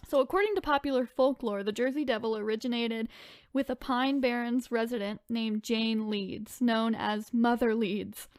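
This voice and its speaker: A woman's voice: fairly high pitch, normal speed, no room sound, studio quality. A woman co-hosting a podcast in casual chat, informal and chatty, sometimes reading out descriptions with asides. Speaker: podcast co-host.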